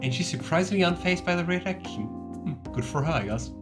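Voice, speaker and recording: A woman's voice: fairly low pitch, expressive, brisk. A woman chatting casually and playfully as she livestreams a video game. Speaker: streamer playing and voicing a video game. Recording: game music behind the voice.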